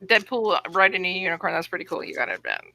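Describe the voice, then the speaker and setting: A woman's voice: lowish voice, animated, fast, gravelly, sharp tone, dry recording, rough recording. A woman co-hosting a podcast, dropping short, teasing remarks into casual banter. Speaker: podcast co-host.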